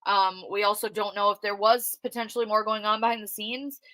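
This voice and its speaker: A woman giving casual, outspoken livestream commentary, loud-voiced and chatty, with plenty of fillers as she reacts to live chat comments. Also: mid-range voice, fairly even intonation, fairly quick, no room sound. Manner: conversational with frequent fillers. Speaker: true crime commentator reading live chat.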